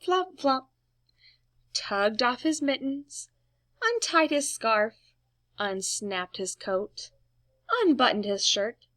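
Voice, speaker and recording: Female children's storybook reader: very lively intonation, slow pace, playful and expressive, close dry sound. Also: on the high side, no room sound. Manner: playful, emphatic sound words. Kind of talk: expressive read-aloud storytelling for young children.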